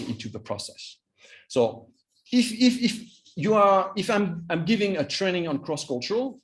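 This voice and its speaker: French-accented man, halting trainer's talk. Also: on the high side. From Vietnam and France. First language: Vietnamese. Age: 50s. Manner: halting, repeats words for emphasis. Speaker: cross-cultural trainer and coach.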